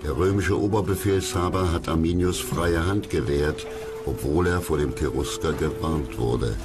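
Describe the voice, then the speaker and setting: A man narrating a documentary from a script, dramatic, solemn and measured. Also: extremely low pitch, loud music behind the voice.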